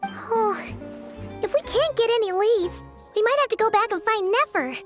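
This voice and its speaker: A woman voicing a small, childlike, excitable fairy companion in a video game, with a squeaky, chatty delivery. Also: normal speed. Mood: frustrated. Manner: chatty, expressive, exclaiming. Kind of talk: video game character acting. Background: music.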